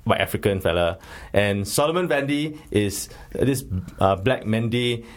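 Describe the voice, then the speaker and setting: A man's voice: fairly low pitch, lively intonation, unhurried. A man with a Singaporean English accent, hosting a casual film-review chat in a jokey, rambling, colloquial way. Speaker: podcast host.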